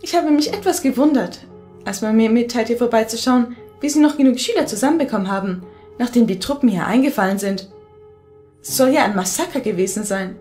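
A woman's voice: a little high, expressive, fairly quick, warm tone, faint music behind, natural voice. A woman playing a composed, formal school inspector in an audio horror story. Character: polite, businesslike female school inspector.

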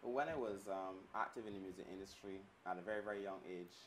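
A man in his thirties from Barbados speaks with a very low voice in a measured, reflective way. He sits some distance from the mic, and a steady noise hiss runs under his words. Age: 30s. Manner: measured, reflective storytelling with restarts. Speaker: music business executive. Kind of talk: interview answers. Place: indoor studio set with glass table and armchairs.

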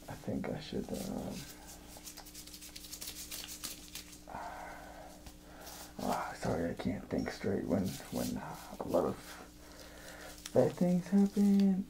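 A man playing a creepy, unhinged evil jester in an ASMR horror roleplay, muttering and rambling haltingly, often dropping to a whisper. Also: on the high side, vocal fry, background noise, heavily acted. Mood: nervous, dithering.

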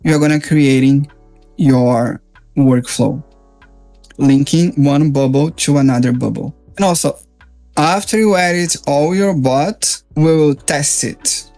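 Higher-pitched male tutorial presenter. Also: slow, dark tone. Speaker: software reviewer and tutorial presenter. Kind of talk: informal screen-share software walkthrough. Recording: music playing behind the voice.

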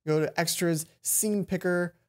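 A man's voice: high-pitched, steady tone, measured pace, darkish tone. A young man hosting a let's play, reading visual novel narration aloud and adding casual commentary. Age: young adult. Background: faint game music.

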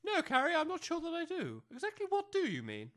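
A woman's voice: extremely high pitch, huge pitch swings, brisk, fairly bright tone, heavily acted. A woman playing a pompous, snobbish new-age academy head in an amateur comedy audio drama, speaking precisely in lofty jargon. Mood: condescending.

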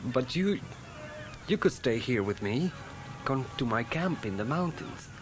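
Guy doing an earnest rebel fighter, lively pitch, stiff formal english, radio play w/ faint hiss. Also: measured pace, close to an everyday voice. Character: earnest idealistic male revolutionary fighter. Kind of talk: early 1980s radio drama acting.

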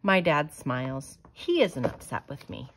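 A woman reading a children's picture book aloud in a measured, expressive way. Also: low-pitched, background noise. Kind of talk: storybook read-aloud.

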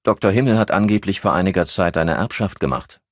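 A man's voice: low-pitched, expressive, brisk, no room sound, clean studio sound, pitched above the performer's own voice. A man voicing a flippant, sardonic male police colleague with a cheeky, ironic delivery in an audiobook. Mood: breezy.

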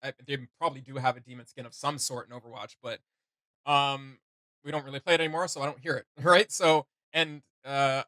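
A man's voice: mid-range voice, steady tone, brisk, pushed and bright, no room sound. A young man hosting a reaction video, chatting casually and giving his opinions. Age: young adult. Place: small indoor room with gaming setup.

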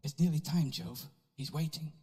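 A solemn man voiced by an actor gently urging in an audio drama. His voice is slightly high and rings in a long reverb. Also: normal speed, slight background noise, natural voice. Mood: solemn, coaxing. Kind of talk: dramatic audio adventure acting. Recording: long reverberant hall echo.

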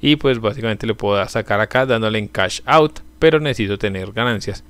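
A man's voice: on the low side, fairly quick, fairly bright tone, smooth, no room sound. A young man, a channel host, casually walking viewers through a website in a screen-recorded tutorial, leaning on fillers as he explains.

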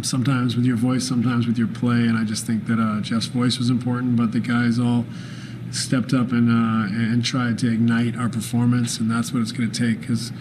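A man in his forties, a basketball head coach answering postgame press questions in a calm, thoughtful way, often pausing with fillers and restarting phrases. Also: medium pitch, noisy background. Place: press room with sponsor backdrop, indoors. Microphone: desk microphone at arm's length.

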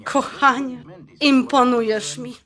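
An actress playing a feisty, sarcastic bride-to-be in an audio drama, mixing with English slang. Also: female voice, high-pitched, fairly even intonation, slow pace, a bit bright. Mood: softened, hesitant. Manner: sarcastic, sassy. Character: feisty Polish-American bride-to-be, quick-tempered and sarcastic.

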